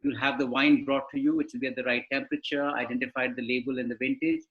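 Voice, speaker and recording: A man's voice: medium pitch, expressive, quick pace, phone-quality sound. A man, speaking as a panelist on a live webinar over a video call, explaining in a conversational way and asking rhetorical questions. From India.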